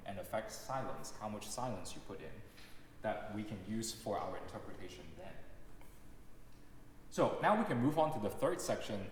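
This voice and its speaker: Young male lecturer, native Cantonese speaker, careful explanatory delivery, very reverberant recital hall with a faint hiss. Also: lowish voice. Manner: explanatory, measured, demonstrating examples aloud. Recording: echoey hall with long reverb, faint noise.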